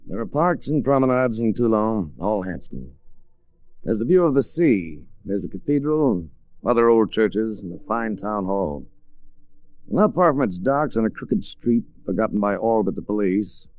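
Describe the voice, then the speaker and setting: An actor playing a tough, cool-headed investigator who also narrates, with an American accent and a direct, composed delivery in 1940s radio style. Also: male voice, on the low side, a little mellow, dry recording, lightly acted, low-quality recording. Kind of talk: 1940s radio drama acting, hardboiled narration.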